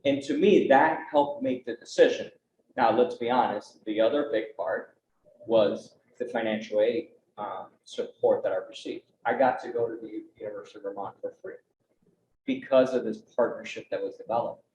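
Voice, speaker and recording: A man's voice: lowish voice, moderate pace, dark tone. Young adult man on a conference panel, earnest and conversational, recorded in a roomy space with slight hiss. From United States. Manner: earnest, conversational, frequent "right?" tag questions. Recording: roomy, dull, faint background noise.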